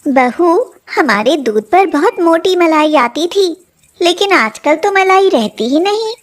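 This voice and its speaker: An exasperated middle-aged mother-in-law performed by a woman narrator. Her voice is high and deliberate, full of puzzled questions and household scolding in a family storybook style. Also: lively intonation. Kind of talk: animated moral story acting.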